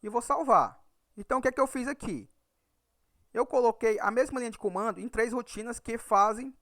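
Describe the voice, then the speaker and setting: A man's voice: extremely high pitch, gravelly, fairly bright tone, dry recording, clean studio sound. A man from Ceará with a northeastern Brazilian accent, teaching a programming tutorial and checking in with "right?" after nearly every point. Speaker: Excel VBA instructor. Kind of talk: screen-recorded programming tutorial.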